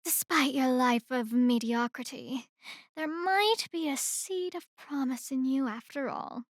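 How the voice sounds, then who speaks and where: Slow, high-voiced haughty female boss played by an actress. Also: dry recording, studio quality. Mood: condescending. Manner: condescending, deliberate, mocking. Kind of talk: ASMR roleplay acting. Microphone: close microphone in a dry room.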